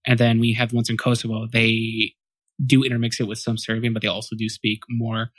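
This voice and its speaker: A man's voice: medium pitch, monotone, brisk, fairly bright tone, no room sound. A man in his twenties, a podcast guest, answering questions in a loose, rambling way, often correcting himself and thinking aloud. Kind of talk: casual interview answers.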